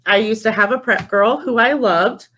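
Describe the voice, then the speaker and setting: A woman's voice: a little high, moderate pace, a bit bright, a little hiss or hum. Woman telling stories in casual interview. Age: young adult. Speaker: podcast guest, store general manager.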